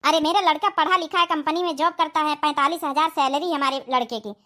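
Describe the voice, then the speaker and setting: A woman's voice: sits high, fairly even intonation, a little fast, sharp tone. A woman voicing a proud, boastful city mother of a groom in a comedy cartoon, businesslike in manner.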